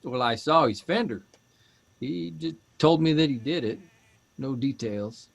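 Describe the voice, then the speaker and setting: An actor playing an easygoing, curious grown son of farm folk in an audio drama, mild, good-natured and conversational. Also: male voice, mid-range voice, animated, unhurried, creaky, lo-fi sound. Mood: thoughtful, amiable.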